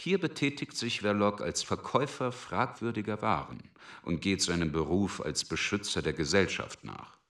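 A man's voice: on the low side, moderate pace, few pauses, bright, forceful tone. A man narrating a scripted radio drama in a pointed storytelling manner.

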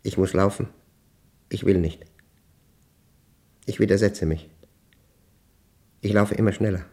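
An actor playing a troubled, methodical professor in a radio play, speaking in precise, deliberate, clipped fragments. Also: male voice, fairly low pitch, fairly even intonation, mellow. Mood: haunted, tense. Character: troubled, methodical male professor recording his nightmare. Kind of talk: German radio play monologue.

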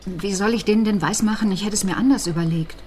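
An actress playing a frightened but stubborn hostage in a German radio crime drama, tense and defensive. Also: female voice, medium pitch, fast talker, a little hiss or hum, lightly acted. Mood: anxious. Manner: tense, defensive, often hushed. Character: frightened but stubborn adulteress held by robbers.

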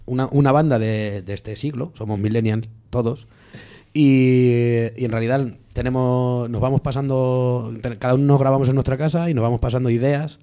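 A man's voice: mid-range voice, steady tone, normal speed, warm tone, smooth. A man from Spain, a band member guest, chatting casually in an interview and explaining at length.

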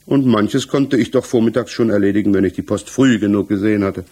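Guarded, husky-voiced male suspect. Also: lowish voice, fairly quick, warm tone. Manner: guarded, matter-of-fact answers. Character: guarded male village businessman under interrogation, evasive, subdued. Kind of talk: 1960s German radio play acting, interrogation dialogue.

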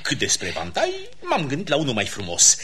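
An actor playing a breezy, self-important count in a classic radio theatre comedy, with pompous theatrical delivery. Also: male voice, mid-range voice, wildly swooping pitch, crowd noise. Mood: patronizing.

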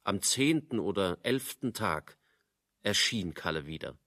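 Male narrator for radio drama, lowish pitch, literary storytelling, very dry close sound. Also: expressive, unhurried, bright, forceful tone, dry recording.

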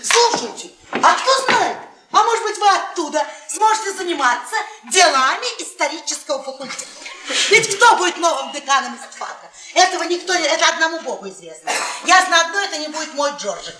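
An actress playing a brash, mocking middle-aged wife who taunts and repeats herself in a theatrical radio play. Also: female voice, very high voice, very animated, brisk, bright, forceful tone, exaggerated voice. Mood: taunting. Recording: roomy stage sound with audience noise.

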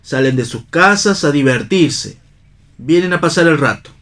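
A man's voice: on the high side, lively intonation, normal speed, fairly bright tone. An Argentine man playing a bitter, idealistic, disillusioned stage actor in a radio theatre reading. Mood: impassioned, oratorical.

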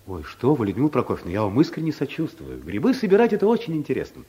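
An actor playing a timid, bumbling office clerk with a hesitant, earnest manner in a vintage radio play. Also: male voice, medium pitch, wildly swooping pitch, racing, dark tone. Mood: nervous, earnest. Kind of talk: Soviet radio play acting.

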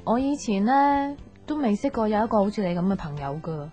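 An actress with a Hong Kong Cantonese accent playing a pensive, soft-hearted young woman unsure in love, reflective and earnest in an intimate radio drama. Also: mid-range voice, sing-song, fairly quick, close to an everyday voice. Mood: light, friendly. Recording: dull radio recording, music underneath.

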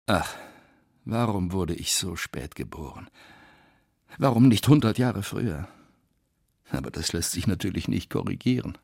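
An actor playing a wistful man who laments being born too late, with a gravelly voice, in a radio play. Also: sits low, lively intonation, unhurried, pauses often, a little mellow, no echo, clean studio sound, exaggerated voice. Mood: wistful, regretful. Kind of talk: radio drama acting.

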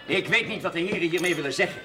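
A man's voice: fairly high pitch, rapid-fire, lightly acted. An actor playing a formal, defensive businessman in a television drama, stiff, wordy and indignant. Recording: old TV soundtrack with music behind.

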